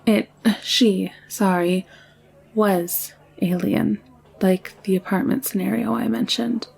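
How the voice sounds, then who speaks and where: A woman playing a gentle, protective alien caretaker in an intimate audio roleplay, speaking softly and soothingly. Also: medium pitch, fairly even intonation, takes it slowly, vocal fry, breathy edge, exaggerated voice. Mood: earnest, soft.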